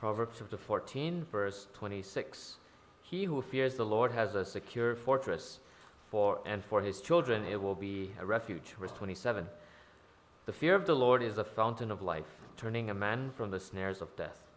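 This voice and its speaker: A man's voice: lowish voice, animated, moderate pace, slight background noise. A man interpreting a sermon into English, hesitant, with frequent ums and uhs. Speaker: church interpreter.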